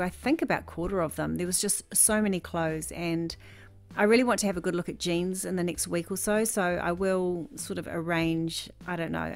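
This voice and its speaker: Woman, thirties, lower voice, chatty and gushing, faint music underneath. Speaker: shopping vlogger. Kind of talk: casual voice-over chat. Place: bedroom, voice-over.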